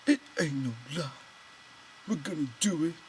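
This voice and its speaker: Man playing a high-voiced, childlike, simple-minded fellow. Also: expressive, slight background noise, close to an everyday voice. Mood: earnest, insistent. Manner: simple, halting, childlike phrasing. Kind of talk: character voice in an audiobook reading.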